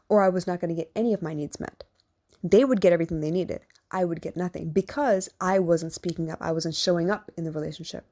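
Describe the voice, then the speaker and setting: Husky-voiced woman, reflective podcast talk. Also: warm tone. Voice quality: deep, husky voice. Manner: reflective, earnest, conversational with fillers. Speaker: podcast host and relationship coach. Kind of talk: solo podcast monologue.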